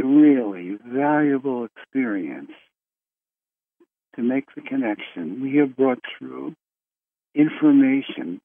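Man, call host, slow rambling talk, lively pitch, phone-line sound. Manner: slow, rambling, with restarts and chuckles. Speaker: host of a spiritual conference call.

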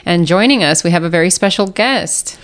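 Smooth low-voiced female co-host. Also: animated, brisk. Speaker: co-host, family therapist. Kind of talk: casual interview co-hosting.

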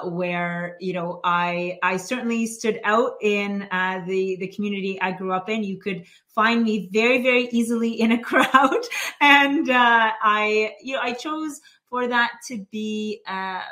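A woman from Canada, a financial planner and author, telling personal stories as a guest on a remote interview, with frequent laughter and many fillers. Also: mid-range voice. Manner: warm storyteller, laughs often, many fillers. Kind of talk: podcast interview.

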